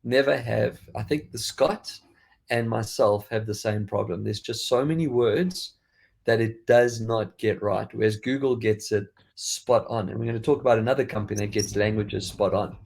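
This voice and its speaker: A man with a faded South African accent and a gravelly, husky voice, hosting a tech podcast. He talks in a chatty, rambling way, often restarting his sentences. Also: lowish voice, moderate pace, darkish tone. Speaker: podcast host. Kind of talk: casual tech chat.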